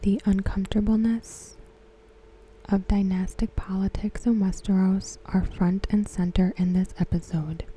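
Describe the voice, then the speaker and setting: Breathy whispering woman, dark and hushed. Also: medium pitch, level tone, poor audio. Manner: slow, measured, hushed. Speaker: ASMR host reviewing a TV episode. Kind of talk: ASMR commentary, softly spoken.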